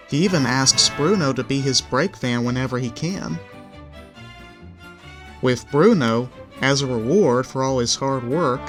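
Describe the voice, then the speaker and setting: Mid-pitched male storybook narrator. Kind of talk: scripted children's story narration.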